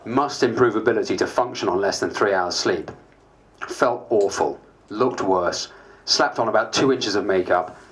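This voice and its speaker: A man's voice: lowish voice, normal speed, a bit bright, natural voice. A man playing a harried, self-mocking MP, narrating a dramatised diary in a dry, wry way. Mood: wry, weary. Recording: faint background noise.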